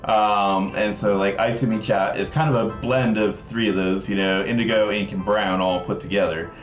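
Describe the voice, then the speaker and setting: A lowish-voiced American man talks casually on a livestream in an explanatory, somewhat scholarly manner. His voice has a clear room echo, with faint music playing behind him. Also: steady tone, moderate pace, dull audio. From Southern California. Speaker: livestream host presenting a game project.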